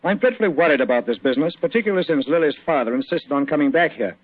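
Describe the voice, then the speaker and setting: Quick-talking anxious young gentleman. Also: a little high, lively intonation. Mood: worried. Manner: earnest, well-spoken. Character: polite, anxious young English gentleman landlord. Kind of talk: 1940s radio acting. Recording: tinny old radio recording.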